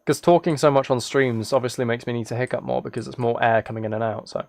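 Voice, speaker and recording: A man's voice: mid-range voice, steady tone, quick pace, vocal fry, darkish tone. A man chatting casually on a livestream as he plays a game, rambling and thinking aloud. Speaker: live streamer. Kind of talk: casual livestream chat while gaming, reading chat.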